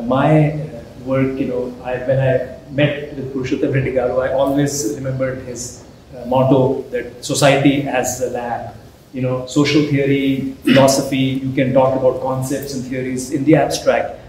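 A middle-aged man with an Indian English accent gives a formal lecture at a podium, pausing often with "uh" and restarting phrases. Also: medium pitch, darkish tone. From India (Hyderabad). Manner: halting, many "uh" fillers and restarts. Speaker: guest lecturer, professor. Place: large hall with a banner backdrop. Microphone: podium mic, filmed from a distance. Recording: very echoey hall, faint noise.

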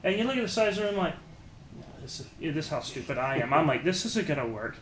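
A middle-aged man chatting casually as an interviewer, haltingly rephrasing his questions as he goes. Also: fairly high pitch, sing-song, a bit bright. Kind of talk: casual chat. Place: small indoor listening room, seated. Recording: roomy echo, clear background noise.

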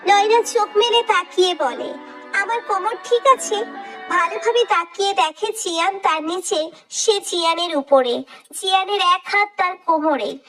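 A woman narrating a romantic story with smooth, expressive reading. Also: extremely high pitch, moderate pace, faint music behind.

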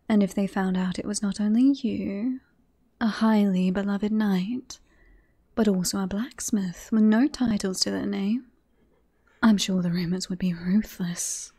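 A woman playing a teasing, self-assured queen in a romantic fantasy ASMR roleplay, with breathy, formal courtly phrasing. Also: mid-range voice, warm tone.

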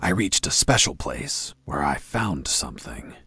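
A man playing a gruff, cocky prisoner action hero in a video game, telling his story in a deadpan drawl. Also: a bass voice, measured pace, loud music behind the voice, heavily acted. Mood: recalling, irritated.

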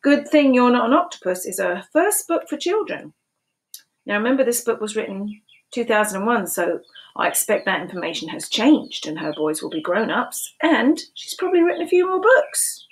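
A woman's voice: fairly high pitch, very animated, normal speed, rough recording. A woman in her sixties reading a picture book aloud to young children in a sing-song storytelling voice, asking them questions, recorded on a webcam at home. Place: small room at home, indoors. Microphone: webcam at desk.

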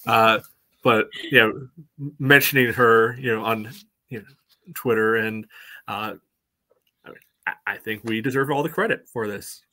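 A man in his thirties giving casual sports commentary in a halting way peppered with filler phrases, on a video call from home. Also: lowish voice, bright, forceful tone, rough recording. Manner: halting, peppered with "you know". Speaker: sports podcast co-host.

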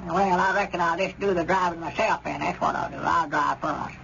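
An actor playing a fretful, hard-of-hearing old country storekeeper with a rural Southern American accent in radio comedy. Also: male voice, on the high side, fairly even intonation, a little fast, gravelly, sharp tone. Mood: stubborn, wary. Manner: folksy, querulous. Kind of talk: 1930s radio comedy acting. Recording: muffled, noisy old radio recording.

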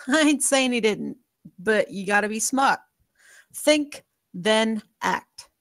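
A woman's voice: a little high, lively intonation, slow, no room sound, clean studio sound. A woman playing a tough, streetwise mother who leads a crew, blunt, stern and guarded in a script read. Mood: stern advice.

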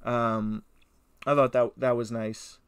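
Man, mid-pitched, crisp voice, dry close mic, casual film discussion, emphatic, profane. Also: flat delivery, takes it slowly, no room sound. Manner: emphatic, profane, peppered with "you know" and "right?". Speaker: podcast co-host and film reviewer.

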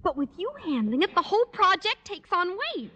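A woman's voice: extremely high pitch, sing-song, a little fast, pushed and bright, dull audio, exaggerated voice. A woman playing an earnest, anxious teenage schoolgirl in 1950s radio comedy style. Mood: eager, coaxing. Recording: noisy old radio recording.

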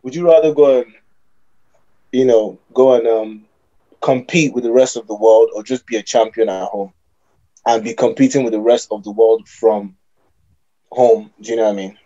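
A man's voice: mid-range voice, fairly even intonation, moderate pace, long pauses, dark tone, muffled recording. Young man probing with long questions. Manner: probing, asks long leading questions, restarts mid-thought. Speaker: podcast host. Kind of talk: casual debate among friends.

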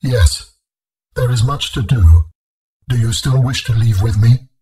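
Husky, solemn ancient alien being. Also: male voice, mid-range voice, dark tone. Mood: calm, grave. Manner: formal, measured, grave phrasing. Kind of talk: video game voice acting.

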